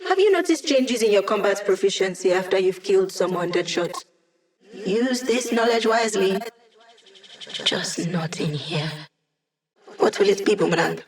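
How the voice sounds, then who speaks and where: Snarky hacker gal, a woman voicing her in a game, mid-pitched, quippy slangy lines. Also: moderate pace, pauses often, close to an everyday voice, rough recording. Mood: wry. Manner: sardonic, quippy, casual slang. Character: wry young woman hacker, holographic, cocky. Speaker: game character, hacker support.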